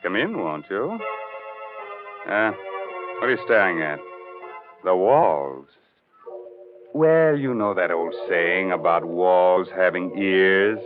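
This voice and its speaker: An actor playing a ghoulish, wisecracking horror host with a theatrical, mock-courteous manner in 1940s radio style. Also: male voice, deep voice, animated, takes it slowly, long pauses. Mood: macabre playful. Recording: muffled 1940s radio recording with music behind.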